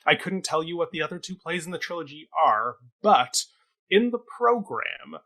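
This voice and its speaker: A man's voice: a little high, big pitch swings, normal speed, bright, forceful tone. A man giving a casual review as a talk show co-host, in a hesitant, rambling, conversational way.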